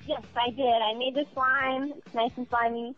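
A woman with a very high voice chats casually over a dull, lo-fi phone connection with music in it. She sounds eager and willing to go along with instructions. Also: flat delivery, moderate pace, fairly bright tone. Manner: giggly, eager, compliant. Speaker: contest participant. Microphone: phone, partly on speakerphone. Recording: muffled lo-fi phone call with music.

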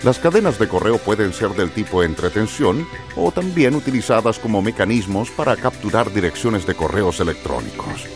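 A male narrator reads educational copy in a low voice. His intonation moves animatedly, and music plays clearly underneath. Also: sing-song, normal speed, hardly any pauses.

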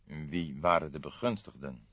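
A seasoned older male detective, played by a man narrating an audiobook, deliberate and wry. He speaks thoughtfully with a teasing edge, close and dry in a slightly muffled recording. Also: rumbling low, fairly bright tone, breathy edge, no room sound, voice pushed down, heavily acted. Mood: probing. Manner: thoughtful, measured, dryly teasing. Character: grey-haired veteran male detective, deliberate, wryly jovial. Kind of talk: audiobook character acting.

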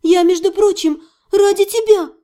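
A woman's voice: extremely high pitch, moderate pace, dark tone, pitched above the performer's own voice. A woman playing a distraught young woman in an audiobook, pleading with her fiancé in a flustered, defensive way. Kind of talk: dramatized audiobook dialogue.

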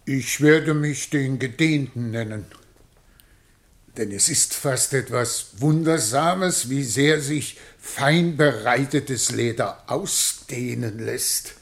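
An actor playing a vain, long-winded poet in a German radio play, with an affected, self-satisfied manner. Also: male voice, mid-range voice, slow. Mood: smug.

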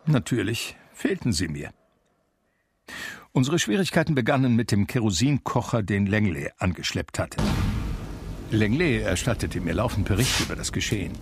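An actor playing a reflective brother narrating a radio drama in a dry, measured, literary way. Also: male voice, fairly low pitch, expressive. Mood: wry, reflective. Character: wry, reflective brother recalling his eccentric household.

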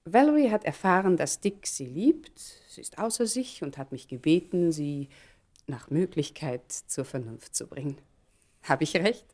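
Shrewd society lady, low voice, lilting and animated, sweetly ironic, radio drama. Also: measured pace. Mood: knowing, ironic. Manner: knowing, ironic, polite. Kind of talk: German radio play acting.

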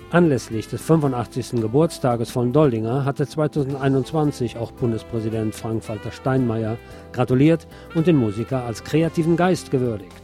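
Mellow-voiced man reading a scripted tribute. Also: mid-range voice. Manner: measured, formal, reading from a script. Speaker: radio show host. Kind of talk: scripted narration, obituary tribute.

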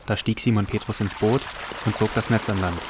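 A man with a low voice narrates scripture for an audio drama. He reads from a script as the storyteller. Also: steady tone, a little fast, background noise.

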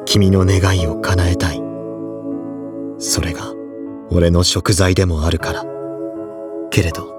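An actor playing a tormented young man in an audio drama, grave and measured as he confesses a guilty love. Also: low voice, flat delivery, over loud music. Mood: anguished, accusing.

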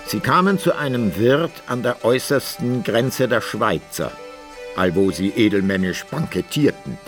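An actor playing an author who narrates his hero's adventures in a deliberate, measured way, in archaic German for a radio play. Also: on the low side, lively intonation, soft background music. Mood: narrating. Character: male author-narrator fondly recounting his hero Simplicius's adventures.

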